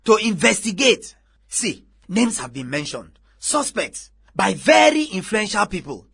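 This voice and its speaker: An actor with a Nigerian accent playing an earnest, persistent newspaper journalist in a radio drama, speaking persuasively. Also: male voice, high-pitched, very animated, a little slow, vocal fry, a bit bright, slightly rough, full character voice. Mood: insistent.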